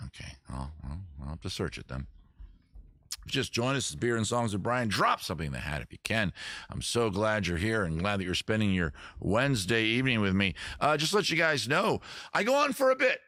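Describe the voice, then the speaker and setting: A man's voice: lowish voice, big pitch swings, brisk, sharp tone, no room sound. A man hosting a live stream, chatting casually between songs in a rambling, jokey, self-mocking way, a little tipsy from drinking. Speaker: live-stream host and singer. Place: room at home. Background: faint guitar.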